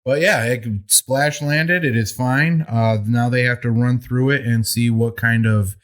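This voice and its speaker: Male co-host, middle pitch, easygoing news recap, casual swearing. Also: fairly even intonation, unhurried, a bit bright. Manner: laid-back, swears casually, laughs often. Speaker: podcast co-host. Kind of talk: casual chat, reading news.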